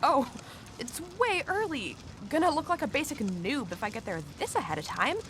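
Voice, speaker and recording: A woman's voice: high-pitched, big pitch swings, moderate pace, fairly bright tone, a little hiss or hum. A woman playing an upbeat, impatient young wheelchair user in a radio play, chatting in perky slang and muttering to herself. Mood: cheerful. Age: young adult. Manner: perky, slangy, talks to self. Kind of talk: naturalistic radio drama acting.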